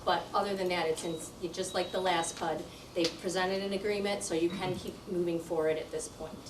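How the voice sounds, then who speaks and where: A woman giving a measured formal report on an agreement at a township meeting. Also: on the low side, fairly even intonation, background noise. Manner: measured legal phrasing. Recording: echoey room, distant mic.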